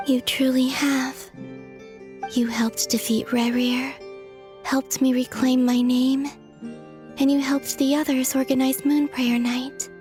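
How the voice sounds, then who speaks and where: A woman playing a gentle, melancholy young moon maiden in a video game, speaking softly and breathily in a hushed, wistful way. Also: high voice. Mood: tender, sorrowful. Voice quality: soft, breathy. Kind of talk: video game cutscene monologue.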